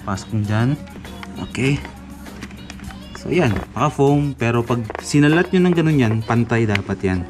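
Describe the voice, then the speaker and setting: A man's voice: medium pitch, expressive, normal speed, long pauses. Young male vlogger, casual instructive tone, frequent check-ins, over background music. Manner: instructive, talks viewers through each step, frequent "okay?" checks. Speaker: moto vlogger demonstrating gear. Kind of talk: casual how-to walkthrough. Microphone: camera mic.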